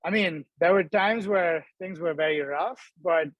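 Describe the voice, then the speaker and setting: A young man from Dubai, a startup co-founder, talking quickly and animatedly as the guest in a video-call interview. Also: high-pitched, dry recording, highs cut off. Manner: quick, animated, laughs between thoughts. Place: indoor room.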